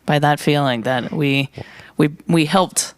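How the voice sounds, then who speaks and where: This man is a podcast guest with a fairly high voice. His voice is clear and smooth, and he tells his jokes dryly. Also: unhurried. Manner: wry, deadpan jokes. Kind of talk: casual chat.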